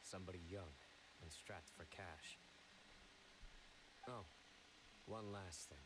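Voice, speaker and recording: An actor playing a serious, composed young male investigator in a video game, measured, formal and authoritative. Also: sits low, background noise. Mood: composed, deliberate.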